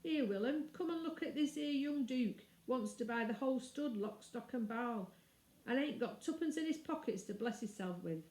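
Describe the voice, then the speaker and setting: A woman voicing a gruff, bullying man who deals in horses, with rustic, taunting speech. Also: fairly high pitch, expressive, moderate pace, a little mellow, echoey room, pitched above the performer's own voice, natural voice. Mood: mocking. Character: gruff, sneering, bullying male horse dealer.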